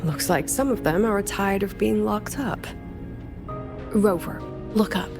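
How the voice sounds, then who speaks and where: A woman's voice: medium pitch, a little slow, lightly acted. Composed, teasing academy mentor woman acting, music behind. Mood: wistful, confiding. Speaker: video game voice actress. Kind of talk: scripted game dialogue. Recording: studio voice over game music.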